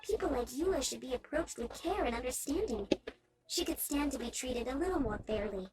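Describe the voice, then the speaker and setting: A woman voicing a gentle, earnest young townswoman with polite, measured speech in a video game. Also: high-pitched, animated, noisy background. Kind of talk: scripted video game character acting.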